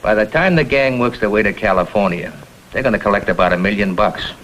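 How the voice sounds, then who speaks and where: Hard-nosed lieutenant played by a man, American, blunt and forceful, lowish pitch, vintage TV crime drama. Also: a little fast, a little hiss or hum, lightly acted, rough recording. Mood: sardonic. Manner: blunt, terse, world-weary. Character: hard-nosed, sceptical male police lieutenant.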